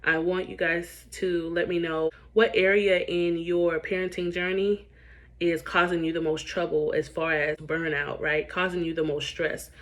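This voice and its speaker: Tired, raspy-voiced woman in her thirties. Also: lowish voice, level tone, a little slow, a bit bright. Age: 30s. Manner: earnest, keeps asking "right?". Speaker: parenting coach. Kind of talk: casual talk to camera.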